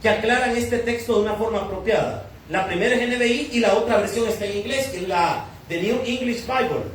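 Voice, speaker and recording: A man's voice: high-pitched, fairly quick, a little hiss or hum. A Salvadoran man preaching a sermon as pastor, teaching through questions he asks and answers himself. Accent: Salvadoran Spanish. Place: church hall. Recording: echoey church hall from far off.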